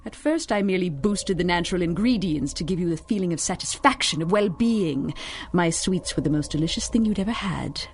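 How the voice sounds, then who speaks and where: A silky, sinister chocolatier. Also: female voice, on the low side, lively intonation, brisk, slight background noise. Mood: silkily menacing. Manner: genteel, purring, condescending. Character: sinister older woman chocolatier, silky, menacing. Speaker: chocolate shop owner. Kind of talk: 1930s-style radio drama acting.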